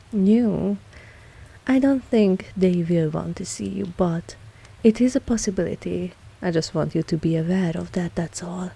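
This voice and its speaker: Ancient vampire mistress, played by a woman: lowish, mellow, composed, wry, fondly commanding, husky, for intimate ASMR roleplay. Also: full character voice. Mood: measured, reassuring. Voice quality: husky, low, breathy at times. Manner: slow, measured, softly spoken.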